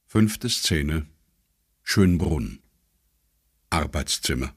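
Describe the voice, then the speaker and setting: A man's voice: a bass voice, animated, very slow, breathy edge, no room sound, studio quality. A man reading scene headings and stage directions as the narrator of a scripted radio drama.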